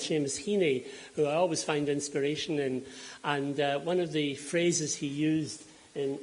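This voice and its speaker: A man with a Scottish accent that carries Donegal roots speaks in a slightly higher register as he lectures a hall full of people. He explains graphs in a measured way and pauses often on "um" and "uh" to correct himself. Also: darkish tone. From Scotland. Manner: measured and explanatory, with frequent ums and self-corrections. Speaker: climate scientist giving a lecture. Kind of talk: public lecture with slides. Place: lecture hall before an audience.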